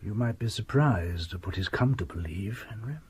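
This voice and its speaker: An actor playing an imperious, sinister gentleman doctor in a radio drama, formal, measured and condescending. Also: on the low side, expressive, husky. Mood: quietly menacing.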